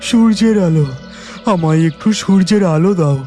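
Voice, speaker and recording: A man voicing a hungry, gentle talking tree in a children's cartoon, speaking in a pleading, plaintive way. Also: high-pitched, normal speed, soft background music, lightly acted. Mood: weak, pleading.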